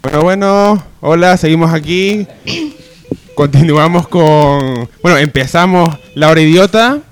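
A man hosting a comedy radio show in casual banter, halting and repeating himself, a bit bossy. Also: on the high side, smooth.

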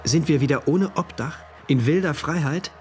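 A man's voice: fairly high pitch, normal speed, natural voice. A man acting an anxious, introspective narrator in a German dystopian radio drama, telling it in brooding short phrases. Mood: awed, bewildered. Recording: loud music behind the voice.

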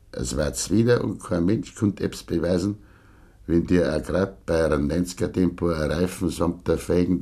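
An actor playing a stubborn, wounded older farmer with a Bavarian accent, gruff and terse in a 1950s dialect radio play. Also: male voice, deep voice, a little mellow. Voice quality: gravelly, husky.

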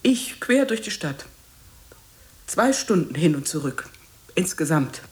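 An actress playing a bitter old woman in a radio drama, scolding and reproachful, halting and repeating herself. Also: low voice, big pitch swings, darkish tone, heavily acted. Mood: indignant, reproachful. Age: older.

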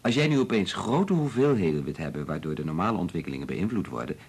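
An actor playing a modest, loyal and cautious research chemist in a Dutch radio play, in a mild, hesitant, measured manner. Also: male voice, deep voice, sing-song, darkish tone, slightly rough, heavily acted. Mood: cautious, reasonable.

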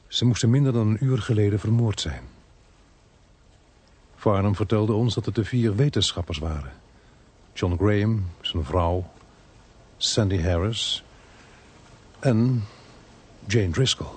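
An actor with a Netherlands Dutch accent playing a sombre, husky first-person narrator in a radio murder thriller, measured and grave. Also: male voice, lowish voice, a little mellow.

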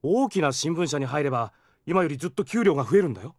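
A man's voice: a little high, fast, no echo, clean studio sound. A man playing an ambitious, jealous, hot-headed young newspaper reporter in an anime audio drama. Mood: eager, ambitious. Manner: brash, excitable.

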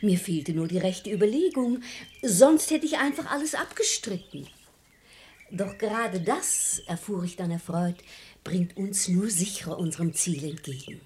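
A woman's voice: fairly low pitch, animated, measured pace, warm tone, a little hiss or hum. A woman playing a shrewd, composed lady of the house in a verse comedy radio play, articulate and persuasive. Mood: coyly seductive.

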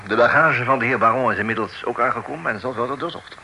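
A very sharp, bright male voice in a slightly noisy old radio play, a vain lawman. He is pompous and fussy, and sprinkles his official speech with French flourishes. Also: medium pitch, quick pace, vocal fry. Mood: self-satisfied. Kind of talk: Dutch radio drama acting.

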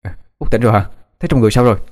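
A man voicing a gentle, anxious older sibling with a Southern Vietnamese accent, speaking hesitantly in an audio story. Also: lowish voice, very fast, lightly acted. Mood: concerned. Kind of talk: dramatised audio story narration.

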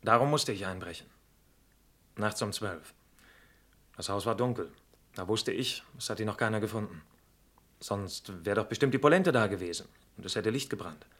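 An actor playing a cornered murderer confessing in terse, resentful sentences in a 1960s German radio crime drama. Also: male voice, lowish voice, moderate pace, long pauses, fairly bright tone. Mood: sullen, resigned. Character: cornered male murderer giving a sullen confession.

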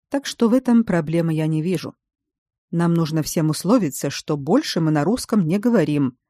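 A woman voicing a calm, learned male baron in an audiobook, speaking in a measured, explanatory way. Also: lowish voice, no room sound, clean studio sound, lightly acted.

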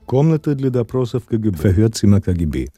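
A man's voice: lowish voice, very animated, quick pace, warm tone, soft background music. A man as the scene announcer of a radio spy drama, giving terse, clipped location announcements. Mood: matter-of-fact.

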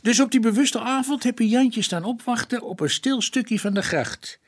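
An actor playing a plain-spoken, respectful police detective in a radio drama, explaining things colloquially. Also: male voice, extremely high pitch, moderate pace. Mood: narrating grimly.

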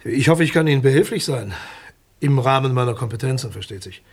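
An actor playing a formal, guarded public prosecutor in a German radio crime drama, courteous, bureaucratic and a little hesitant. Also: male voice, medium pitch, expressive, a little fast, gravelly, slightly rough. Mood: guarded, officious.

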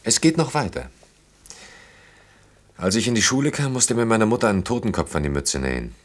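An actor in a German radio play, playing a brooding engineer who speaks reflectively in halting, short phrases. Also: male voice, lowish voice, expressive. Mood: melancholy, recounting. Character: brooding engineer haunted by wartime childhood and lost friends.

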